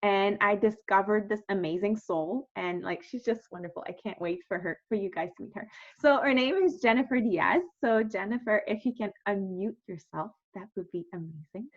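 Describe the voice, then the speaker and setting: A woman hosts a relaxed interview over a video call. She talks in an upbeat, rambling way, full of fillers and restarts, at an everyday pitch. The sound is slightly dull. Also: normal speed. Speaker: podcast host. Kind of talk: casual interview chat.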